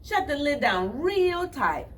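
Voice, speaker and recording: A woman telling a children's story with deliberate phrasing, addressing young listeners directly. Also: high-pitched, sing-song, far from the mic, slight background noise.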